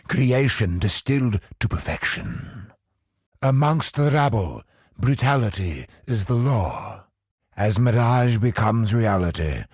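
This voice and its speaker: An actor voicing a brooding, grandiose godlike villain in a video game, husky and gravelly, with an ominous, deliberate delivery. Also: male voice, on the low side, no echo, clean studio sound, heavily acted. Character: godlike male cosmic villain, brooding, grandiose, obsessed with perfection. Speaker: video game boss character.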